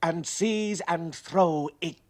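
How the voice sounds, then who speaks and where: A man's voice: a little high, slow. A man acting as an awed spaceship crewman, giving grand, lyrical and solemn narration in a radio drama. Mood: grand dramatic. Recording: faintly noisy 1960s radio recording.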